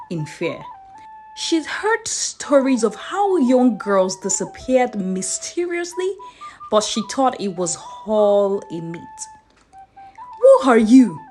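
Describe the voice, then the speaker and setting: Female storyteller for folktales, theatrical and expressive, taking her time, with swooping intonation. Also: medium pitch. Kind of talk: dramatic folktale narration.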